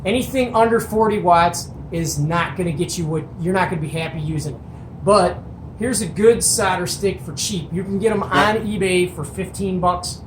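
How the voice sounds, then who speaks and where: An enthusiastic show-and-tell host talks casually in a medium-pitched voice. The delivery is folksy and colloquial, like chatting to viewers over a workbench. The room is echoey, with faint noise behind. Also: normal speed.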